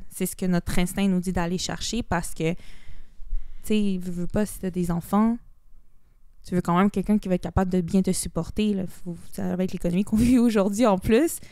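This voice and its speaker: A woman's voice: on the low side, dark tone, dry recording, clean studio sound. A young woman with a Québécois accent, chatting as a podcast guest, mixing in English phrases and restarting her sentences. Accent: Québécois French. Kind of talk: casual podcast conversation.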